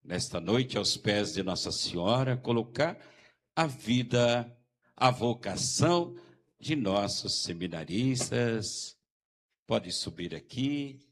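Brazilian priest, low and unhurried, leads novena welcomes. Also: male voice, animated. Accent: Brazilian Portuguese. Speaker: parish priest.

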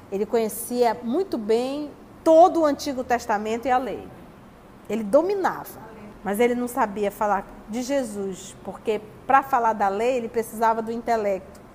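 Brazilian woman, spiritist lecturer, high voice, teacherly and rhetorical, echoing hall. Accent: Brazilian Portuguese. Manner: teacherly, rhetorical questions answered herself. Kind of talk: religious study talk, reading and commenting on texts. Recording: long hall reverb, faint background noise.

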